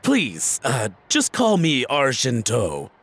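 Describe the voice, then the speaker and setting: Jittery suspect, wildly swooping voice. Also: male voice, mid-range voice, huge pitch swings, takes it slowly, fairly bright tone, faint music behind, heavily acted. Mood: uneasy. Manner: stammering, hesitant, deferential. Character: nervous, eager-to-please male smuggling suspect. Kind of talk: video game voice acting.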